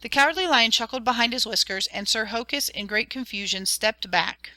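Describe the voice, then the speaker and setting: Woman reading a fairy tale aloud, crisp bright voice, dry. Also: on the high side, brisk, no echo. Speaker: narrator. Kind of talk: storybook narration.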